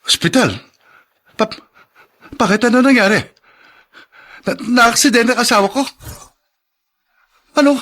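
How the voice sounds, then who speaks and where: Anxious young husband, high bright voice. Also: male voice, measured pace, long pauses, exaggerated voice. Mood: shocked. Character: anxious young husband and father, earnest and respectful. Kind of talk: Filipino radio drama acting.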